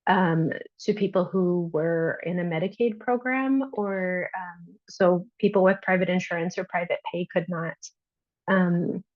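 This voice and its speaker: A woman's voice: on the low side, measured pace, dry recording. Woman midwife, dry and slightly dull sound. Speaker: nurse midwife, panelist. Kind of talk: casual personal storytelling. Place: online video call.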